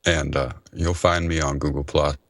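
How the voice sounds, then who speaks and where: Deep-voiced male guest, relaxed and halting. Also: no room sound. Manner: relaxed, hesitant, many fillers. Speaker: guest panelist, audio engineer. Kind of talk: casual panel chat.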